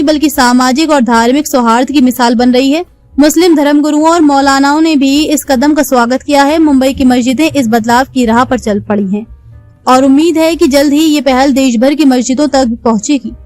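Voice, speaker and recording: A woman's voice: high voice, moderate pace, darkish tone, no echo, faint music behind. A woman reading a scripted news report as a narrator.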